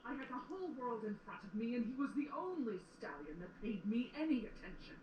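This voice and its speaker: A woman's voice: on the high side, very animated, quick pace, muffled recording. Woman playing a regretful mare, echoey and distant. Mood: bitter regret. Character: bitter, regretful female pony recounting heartbreak. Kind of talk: audio drama acting. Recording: echoey, distant television-like playback, clear noise.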